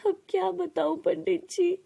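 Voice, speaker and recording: A woman voicing a gentle, devout poor mother in an animated moral story, pleading and earnest. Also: extremely high pitch, measured pace, vocal fry, muffled recording, pitched above the performer's own voice, full character voice. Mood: tearful.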